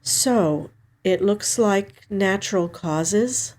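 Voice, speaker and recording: A woman voicing a guarded, guilt-ridden younger sister in a story reading, in a careful, measured tone. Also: medium pitch, darkish tone. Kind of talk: audiobook character dialogue.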